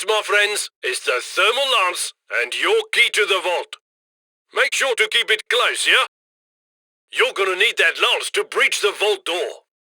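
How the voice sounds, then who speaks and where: A man's voice: high voice, sharp tone, no room sound, heavily acted. An actor playing a cocky, jovial heist mastermind in a video game, chummy and theatrical as he guides his crew over radio comms. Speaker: mission handler voice in a game. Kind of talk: scripted video game mission voice lines.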